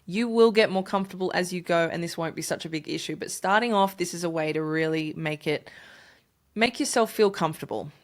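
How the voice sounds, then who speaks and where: A woman's voice: fairly low pitch, a little fast. A woman co-hosting a casual podcast, explaining tips in an easy, chatty way and laughing often. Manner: giggly, laughs often between lines. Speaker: podcast co-host.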